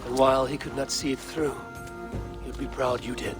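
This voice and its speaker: Brief: actor as a steady Viking warrior, firm and calm, over score. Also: male voice, medium pitch, moderate pace, over music, lightly acted. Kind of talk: game cutscene acting.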